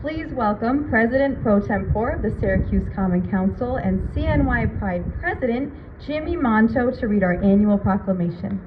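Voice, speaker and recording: A woman's voice: fairly high pitch, expressive, normal speed, hardly any pauses. A woman acting as master of ceremonies, giving formal remarks and introductions at a public event. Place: outdoor public ceremony at a city hall. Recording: thin phone-like public-address sound, noisy.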